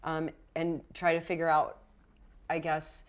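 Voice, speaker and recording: A woman's voice: low-pitched, monotone, a little slow, clean tone. A woman running a tabletop game as game master, informal and thinking aloud as she narrates.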